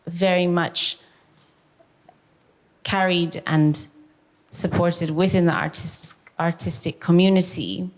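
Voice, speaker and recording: A woman's voice: fairly low pitch, steady tone, takes it slowly, long pauses, poor audio. A woman and festival curator answering on a public talk panel, thoughtful and searching for her words. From South Africa.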